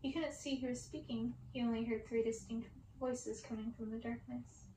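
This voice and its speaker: Woman storyteller, high voice, read-aloud narration, echoey room, faint noise. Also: steady tone, normal speed, dark tone. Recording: roomy, distant mic, faint noise.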